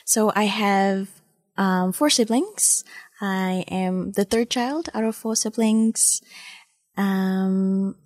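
A woman's voice: medium pitch, takes it slowly, darkish tone. A woman in her twenties from Malaysia, answering interview questions casually and hesitantly, with many ums and uhs. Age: 20s. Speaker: interview guest.

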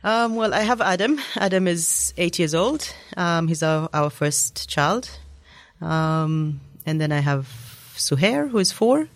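A woman's voice: fairly low pitch, animated, a little slow, dry recording. Reflective Kenyan-born woman, dry sound. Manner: warm reflective storytelling with frequent ums. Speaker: interview guest. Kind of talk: casual conversational interview.

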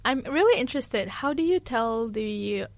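A woman's voice: fairly high pitch, animated, moderate pace, no room sound. A young woman hosting a casual interview, friendly, with short backchannels and frequent laughs.

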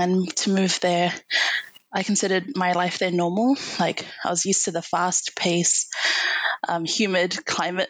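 A woman's voice: mid-range voice, dry recording, rough recording. A young woman from New Zealand, raised in Hong Kong, talking casually as a podcast guest from home, laughing often between fillers. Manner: giggly, with many fillers and laughs. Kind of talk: casual interview talk.

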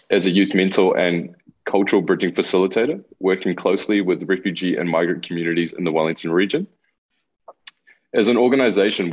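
A young man with a New Zealand accent and a very low voice speaks earnestly over a video call. He works through long prepared lists of points. The sound is dull and lo-fi. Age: 20s. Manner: earnest, listing points, reads prepared remarks. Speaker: guest, youth mentor. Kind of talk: remote interview, explaining his organization.

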